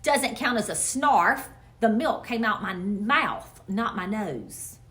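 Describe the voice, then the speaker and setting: A woman voicing a playful third-grade boy, an eager younger brother with an excitable, teasing manner, in a storybook. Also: medium pitch, slow. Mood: gleeful.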